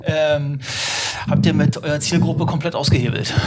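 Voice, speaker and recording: Higher-voiced male co-host, noisy recording. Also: moderate pace. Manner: chatty, conversational questions. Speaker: podcast co-host. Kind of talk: casual podcast interview. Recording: loud background noise.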